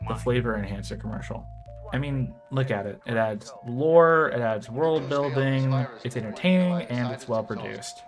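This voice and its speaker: A young American man narrating an opinionated commentary video, emphatic and at times exasperated. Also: mid-range voice. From United States. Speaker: video essay creator. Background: background music.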